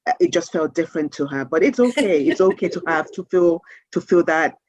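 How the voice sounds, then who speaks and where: A woman's voice: lowish voice, expressive, fairly quick, darkish tone, no echo, muffled recording. A woman from Nigeria, answering interview questions conversationally as an author.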